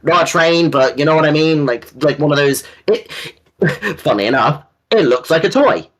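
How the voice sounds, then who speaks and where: A man's voice: a little high, animated, normal speed, a bit bright. A man co-hosting a casual fan discussion, talking in an enthusiastic, rambling way with some casual swearing. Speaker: co-host.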